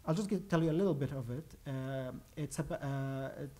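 A man's voice: medium pitch, moderate pace, dark tone. A man in his forties from Iraq, a playwright giving a talk about his plays, hesitant with many restarts. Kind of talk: lecture on his own plays. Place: lecture stage before a pale wall.

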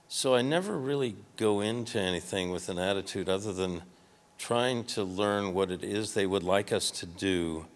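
Older Midwestern man, folksy and low. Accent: Midwestern American. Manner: conversational, folksy, trailing restarts. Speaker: award recipient speaker. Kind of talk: conference talk with audience Q&A. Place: large hotel ballroom stage. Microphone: handheld microphone at a podium.

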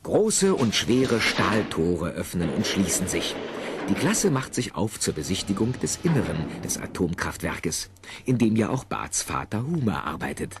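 A man's voice: fairly low pitch, background noise. A man narrating a scripted children's audio play theatrically, with conversational asides to the listeners.

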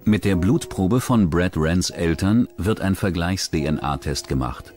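A man narrating a true-crime documentary in a measured, serious scripted delivery. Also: sits low, lively intonation, darkish tone, soft background music.

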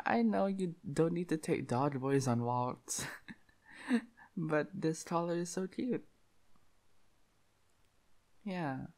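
Slow, lisping, shy young dog boy played by a man. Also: fairly high pitch, darkish tone. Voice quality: lisp. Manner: breathy giggling, hesitant stammering, cutesy. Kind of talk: intimate roleplay audio acting.

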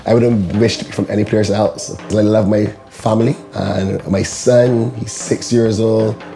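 A man from Barbados in his thirties, an executive director, speaking in a measured, reflective documentary interview. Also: fairly low pitch, fairly even intonation, creaky, warm tone. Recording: faint music under voice. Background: faint music.

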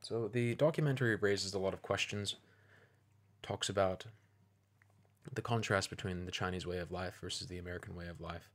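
A man giving an opinionated video commentary, speaking in a measured, deliberate and persuasive way. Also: low voice, fairly bright tone.

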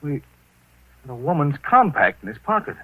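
An actor playing a calm, suave married private detective, probing and soothing, in 1940s radio style on an old recording. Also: male voice, on the high side, normal speed, husky, no echo, narrow, tinny audio. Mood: brisk, investigating.

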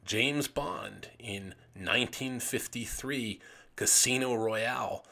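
A man with a gravelly, raspy voice narrating an illustrated commentary as host, with enthusiastic, dramatic emphasis on phrases. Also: mid-range voice, slow pace, bright, forceful tone. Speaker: host of a video series on vintage pulp magazines.

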